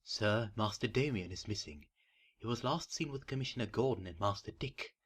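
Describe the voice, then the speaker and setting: Man playing a husky, worried old butler. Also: lowish voice, lively intonation, normal speed, fairly bright tone. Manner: formal, deferential, pleading. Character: loyal, worried older male butler. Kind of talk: audio drama acting.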